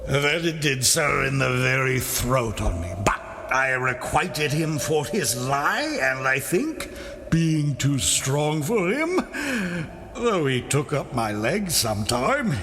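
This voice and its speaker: An actor playing a drunken, bawdy, grumbling castle porter in a theatrical Shakespearean audiobook performance, exclaiming and rambling. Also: male voice, medium pitch, big pitch swings, slow, bright, forceful tone, exaggerated voice. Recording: clear background ambient noise.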